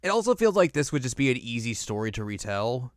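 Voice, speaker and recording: A man's voice: mid-range voice, very animated, fast, dry recording. A man co-hosting a podcast, chatting casually and informally about films with some dry humor. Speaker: podcast co-host. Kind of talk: casual film review chat.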